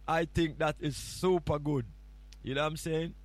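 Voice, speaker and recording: A man with a Jamaican accent sits in as host of a morning radio show, talking in a relaxed, playful way. He rambles and teases, drifting from story to story, and his voice sits slightly higher than usual. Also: moderate pace. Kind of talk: casual morning show chat.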